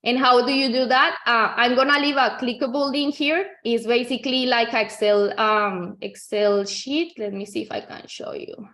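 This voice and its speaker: Higher-pitched woman with a Colombian accent. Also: steady tone, moderate pace, a bit bright. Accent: Colombian Spanish. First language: Spanish. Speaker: real estate agent giving a software tutorial. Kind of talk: informal screen-share tutorial.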